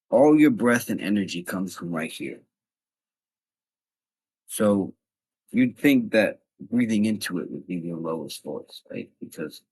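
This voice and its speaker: Low husky man giving blunt tips. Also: a little mellow, rough recording. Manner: blunt, chatty, addresses viewers directly. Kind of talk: casual instructional talk to camera.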